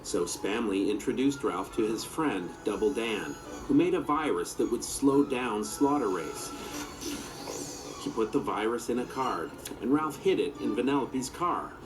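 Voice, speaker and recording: A man's voice: medium pitch, expressive, measured pace, over music, low-quality recording. A man reading a children's story aloud as its narrator.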